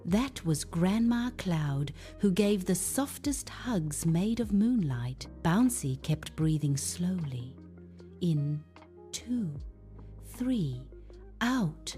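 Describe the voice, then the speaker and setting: A woman gently narrating a scripted bedtime story for children in a hushed, soothing, unhurried manner. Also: lowish voice. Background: music.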